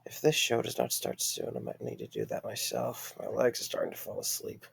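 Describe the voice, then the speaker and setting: Man as a gravelly, sardonic theatergoer. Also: mid-range voice, sing-song, a little fast, exaggerated voice. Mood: impatient. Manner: sarcastic, grumbling. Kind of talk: conversational audio drama acting.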